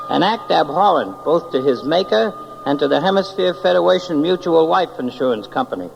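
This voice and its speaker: A eccentric angel, centuries old, speaks in a high, creaky voice with lively swoops. He is fussy and formal, fond of ornate wording, in the manner of a vintage 1940s radio fantasy. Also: full character voice. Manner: pompous, wordy, formal. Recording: muffled, noisy old 1940s radio recording.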